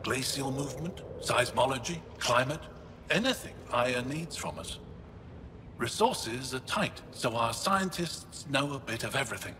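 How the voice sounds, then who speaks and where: Alien male researcher, brisk and matter-of-fact, long echo, ambient noise. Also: mid-range voice, animated, sharp tone. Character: upbeat alien male field scientist. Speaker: lead researcher. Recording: echoey game audio with ambience.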